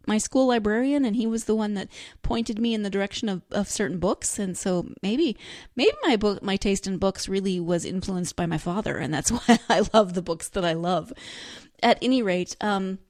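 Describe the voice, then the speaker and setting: A woman's voice: mid-range voice, darkish tone, dry recording, studio quality. A woman hosting a podcast, chatting enthusiastically about books in a giggly, rambling way full of asides. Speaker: podcast host. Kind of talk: chatty solo book review talk.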